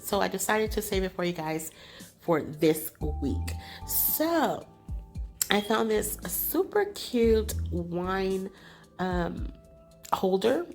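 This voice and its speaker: A woman hosting a crafting channel, talking casually over her tutorial, sounding nasal and congested from allergies, chatty and apologetic. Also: medium pitch. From California. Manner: chatty, apologetic, laughs often.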